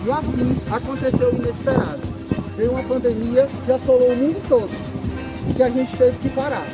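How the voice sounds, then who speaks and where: Man over loud music. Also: extremely high pitch, steady tone, fairly quick, low-quality recording. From Parnaíba, Brazil. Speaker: cultural group president. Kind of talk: scripted testimonial.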